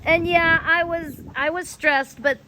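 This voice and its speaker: An American woman telling rambling personal stories casually as a host, in a drawn-out way with some swearing. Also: very high-pitched, steady tone, noisy background. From United States. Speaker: host of a personal storytelling show.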